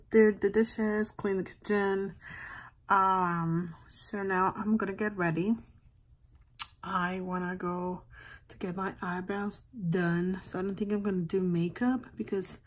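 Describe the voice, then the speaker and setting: A woman in her thirties chatting casually to camera in a personal vlog. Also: mid-range voice. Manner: switches between English and Spanish. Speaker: vlogger. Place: parked or moving car, small home rooms.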